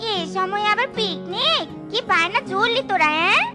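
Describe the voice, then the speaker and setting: A homely, scolding fox wife from a village cartoon played by a woman. Her voice is extremely high, her talk folksy and nagging, with clear music behind it. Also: expressive, moderate pace. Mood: indulgent. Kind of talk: animated children's cartoon dialogue.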